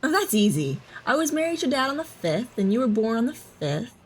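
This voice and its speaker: A woman's voice: a little high, sing-song, a little fast, husky. A woman playing a loving, teasing mother in an audio drama, both telling the story and talking with her child. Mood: playful, teasing. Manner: reassuring, teasing. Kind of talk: audio drama narration and dialogue. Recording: music under the voice. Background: music.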